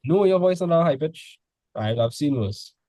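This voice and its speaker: A man's voice: a little high, lively intonation, moderate pace, warm tone, no echo. A male teacher tutoring students in a casual session, full of "like" fillers, quizzing them with questions as he goes.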